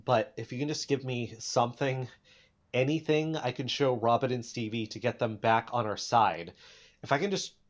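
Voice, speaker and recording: A man acting an anxious, earnest podcaster in an audio drama, halting and often breaking off mid-sentence. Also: medium pitch, lightly acted. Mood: pleading, earnest. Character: anxious, earnest young male podcaster livestreaming desperate proof.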